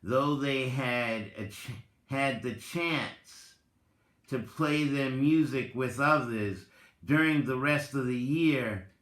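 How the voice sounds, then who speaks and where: A man giving a dramatic reading with spoken commentary, deliberate and halting, in short phrases. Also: medium pitch, a bit bright. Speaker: reader, content creator. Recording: roomy, echoing voice recording.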